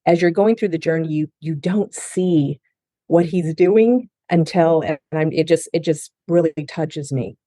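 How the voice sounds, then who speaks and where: A woman's voice: sits low, a little slow, mellow, dry recording. A middle-aged woman who grew up in Japan and speaks English as a second language, telling her life story earnestly as a guest in an interview. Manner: earnest and heartfelt, with frequent restarts. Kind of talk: personal testimony in a podcast interview.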